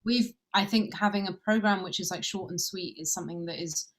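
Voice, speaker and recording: A woman's voice: medium pitch, brisk. A woman string quartet musician answering interview questions enthusiastically, peppered with fillers.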